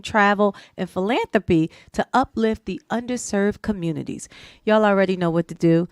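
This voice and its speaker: A woman co-hosting a radio show in a studio, reading a guest introduction in an even, scripted way. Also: medium pitch, no room sound. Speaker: radio co-host.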